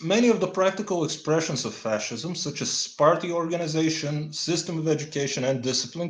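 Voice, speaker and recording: A Bulgarian man reading a dense political text aloud, stumbling over words and adding deadpan asides. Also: fairly high pitch, reverberant. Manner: stumbling, restarts words, deadpan wry comments. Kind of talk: halting read-aloud with dry asides.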